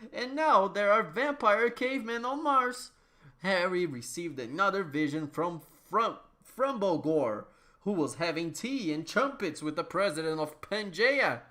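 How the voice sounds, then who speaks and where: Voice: mid-range voice, sharp tone. A performer giving a mock-dramatic, theatrical comedic reading of a story, sometimes tripping over words and repeating them. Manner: theatrical, stumbles and rereads phrases. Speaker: reader of a fan fiction.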